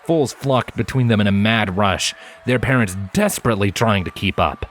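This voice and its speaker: A man's voice: on the low side, normal speed. A man reading a story aloud as scripted narration. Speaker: fan fiction narrator.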